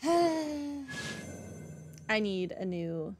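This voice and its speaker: A woman's voice: medium pitch, sing-song, very slow. A woman giving casual playthrough commentary as a game streamer, with dry, muttered asides. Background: game music.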